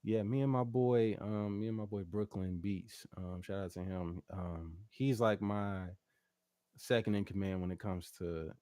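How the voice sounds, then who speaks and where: A man's voice: on the low side, measured pace, mellow, no room sound. A man talking casually as a guest music artist in an interview, slangy and rambling, often checking that the listener follows. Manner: slangy, rambling, frequent "you know what I'm saying".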